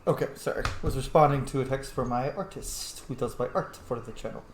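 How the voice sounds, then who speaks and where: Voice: medium pitch, fairly quick, roomy sound, faint music behind. A gaming streamer giving casual, chatty commentary on a roleplay playthrough.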